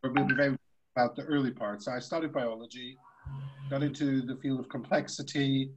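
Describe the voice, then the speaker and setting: A man from Switzerland, a podcast guest, telling the story of his career in a relaxed, rambling way. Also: medium pitch, brisk, muffled recording, very noisy. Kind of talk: conversational storytelling.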